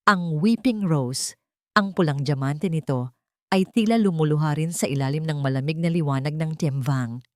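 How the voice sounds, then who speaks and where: A woman with a fairly low voice narrates a scripted drama in the first person. Her delivery is theatrical storytelling, and the recording is close and dry. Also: moderate pace, dry recording.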